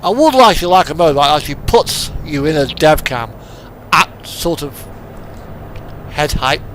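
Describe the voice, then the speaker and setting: A man with a slightly high voice and very varied intonation gives casual gameplay commentary. He sounds like he is thinking aloud in an unhurried way. A faint vehicle hum from the game sits behind his voice. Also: lively intonation, a bit bright. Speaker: video game player and host.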